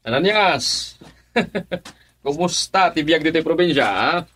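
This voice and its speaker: A man's voice: fairly high pitch, lively intonation, slow pace, fairly bright tone, full character voice. A man playing a breezy, self-assured young visitor to his home province in an Ilocano radio drama. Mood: jovial.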